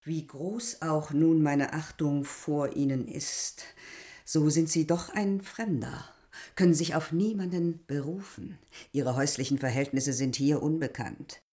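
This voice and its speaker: A woman's voice: low-pitched, unhurried, dark tone. A middle-aged innkeeper woman in a radio drama. She is proud and self-important, lecturing in formal, emphatic phrases with a weary edge. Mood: stern, formal. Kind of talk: literary radio drama acting.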